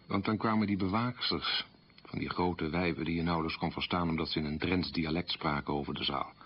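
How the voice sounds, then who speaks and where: A man's voice: sits low, slightly rough, dull audio. An actor playing a proud, wounded man recalling a humiliating wartime childhood in a restrained radio monologue. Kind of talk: radio drama monologue, confessional storytelling.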